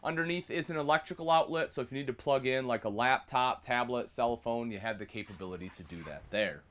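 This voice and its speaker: Guy in his 30s doing an upbeat salesy walkthrough of a camper, calls everyone folks, normal pitch. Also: fast, a bit bright. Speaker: RV product specialist. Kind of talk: product walkthrough tour. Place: inside a small travel trailer.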